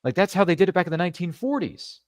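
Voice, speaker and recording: A man's voice: high-pitched, very animated, racing, a little mellow, no room sound, studio quality. A man hosting a podcast interview, chatting casually and rambling with playful asides. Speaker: podcast host.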